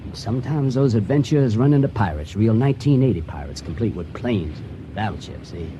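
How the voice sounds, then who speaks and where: An actor playing a folksy, slippery younger boat navigator in a radio drama, hearty and over-reassuring. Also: male voice, on the low side, animated, brisk, lo-fi sound, background noise, lightly acted. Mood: guarded, reassuring.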